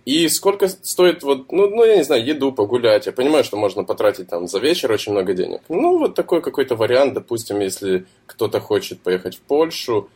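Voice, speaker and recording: A man's voice: mid-range voice, very animated, fairly quick, hardly any pauses, darkish tone, slightly rough. A man hosting a casual interview, asking curious questions in loose, roundabout phrasing. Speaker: interview host.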